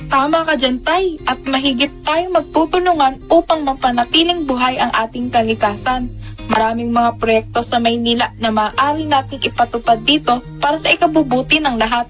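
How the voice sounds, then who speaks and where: A woman's voice: high-pitched, normal speed, a bit bright. A woman playing a supportive, idealistic daughter in a radio drama. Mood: earnest. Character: supportive, idealistic daughter pledging to protect nature. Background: music.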